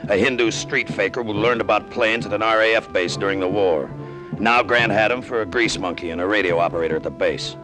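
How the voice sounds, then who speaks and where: A man's voice: fairly low pitch, brisk, close to an everyday voice. An actor playing a cynical, down-on-his-luck American pilot in 1950s radio drama, with sardonic, slangy delivery. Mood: world-weary. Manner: sardonic, slangy, world-weary. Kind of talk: 1950s radio drama acting, first-person narration. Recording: vintage radio drama with music behind.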